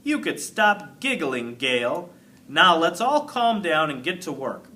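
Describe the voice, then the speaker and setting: Man voicing a brisk, animated woman schoolteacher. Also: on the high side, full character voice. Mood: brisk, instructing. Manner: firm, instructing classroom address. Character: patient, brisk woman schoolteacher running her class.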